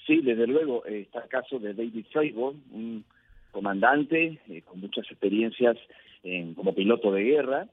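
A man from Peru, answering as a radio interview guest in measured, emphatic phrases. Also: medium pitch, lively intonation, slightly rough, no echo. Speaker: interview guest, UFO researcher. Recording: thin phone-like line.

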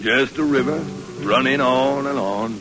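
An actor playing an easygoing, folksy American desert guide in an old radio western. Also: male voice, medium pitch, measured pace, natural voice, rough recording. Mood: musing. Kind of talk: old-time radio drama acting. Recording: old radio recording, faint music.

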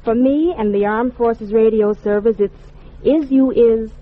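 A woman's voice: fairly high pitch, unhurried. A woman performing on a 1940s radio variety show. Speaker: singer and guest performer. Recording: old lo-fi 1940s radio broadcast, music.

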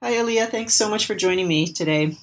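A woman hosting a book interview podcast, reading a scripted introduction, then asking measured questions with some hesitation. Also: mid-range voice. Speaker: podcast host.

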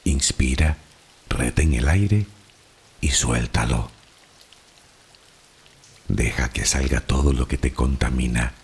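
A man from the Canary Islands with a Canarian Spanish accent, narrating a guided sleep relaxation in a soft, unhurried, soothing way. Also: extremely low pitch, creaky, slight background noise.

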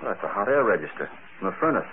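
A man's voice: on the low side, sing-song, rapid-fire. An actor playing a stubborn, plain-spoken family man in 1940s radio drama style. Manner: plain, easygoing, slangy. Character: stubborn, plain-spoken ordinary husband, crime witness. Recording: tinny, noisy old radio broadcast.

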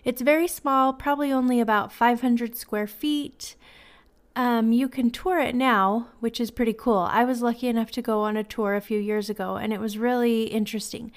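A woman's voice: on the high side, steady tone, moderate pace, no echo. A woman hosting a podcast, sounding congested from a cold, talking in a chatty, apologetic way. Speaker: podcast host. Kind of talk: casual podcast chat.

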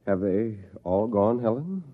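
An actor playing an earnest, deliberate professor and husband in an old-time radio drama. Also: male voice, on the low side, very animated. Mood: hushed, wary. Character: grave, resolute male professor, weary husband. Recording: muffled vintage radio recording.

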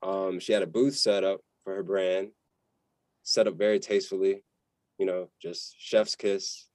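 Deep-voiced laid-back male host. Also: steady tone, unhurried, a little mellow, dry recording. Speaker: podcast host. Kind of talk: casual interview chat.

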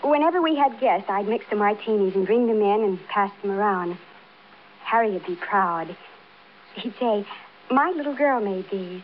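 A woman's voice: medium pitch, animated, normal speed, lightly acted. An actress playing a fragile, newly widowed American woman, genteel, hesitant and politely evasive, in old-time radio drama. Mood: brittle politeness. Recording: muffled, noisy vintage radio broadcast.

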